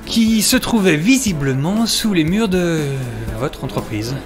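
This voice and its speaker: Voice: medium pitch, expressive, a little slow, lightly acted. A performer playing a team member who explains a mishap, hesitant at times, in an amateur audio drama. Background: music.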